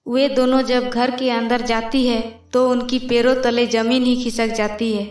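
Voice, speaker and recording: A woman's voice: a little high, level tone, a little slow, darkish tone. A woman reading scripted narration for a moral fairy tale cartoon. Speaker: storyteller. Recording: roomy, distant voice over music.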